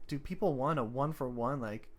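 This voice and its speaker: A man with a medium-pitched voice co-hosts a casual podcast. He is agreeable and conversational, readily chiming in with short affirmations. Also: brisk. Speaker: podcast co-host. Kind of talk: casual film discussion chat.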